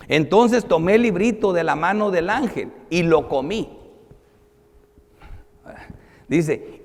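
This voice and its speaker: A male pastor with a high voice delivers a sermon, moving between scripture and explanation. He is emphatic, declaiming some phrases and dropping softer on others. Long reverb of a large hall surrounds his words. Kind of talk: sermon, reading and explaining Bible verses.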